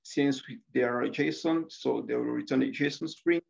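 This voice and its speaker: A man's voice: mid-range voice, normal speed, gravelly, a little mellow. A young man presenting a student coding project over a video call, hesitant and full of filler sounds. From Rwanda. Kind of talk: screen-share software demo. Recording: slightly dull lo-fi audio.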